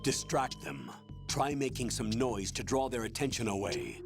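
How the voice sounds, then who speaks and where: An actor playing a stern military colonel in a video game, giving briefings in an authoritative, measured, matter-of-fact way. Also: male voice, medium pitch, lively intonation, gravelly, music in the background. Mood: urgent instructing. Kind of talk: dramatic video game voice acting.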